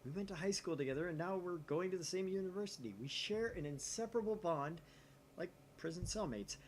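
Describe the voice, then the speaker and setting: A man's voice: sits high, lively intonation, brisk, slight background noise, voice pushed up. Man playing a grandiose young mad scientist, dramatic swings. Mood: insistent, self-important. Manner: pompous, dramatic declaiming. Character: grandiose young self-styled mad scientist, male student.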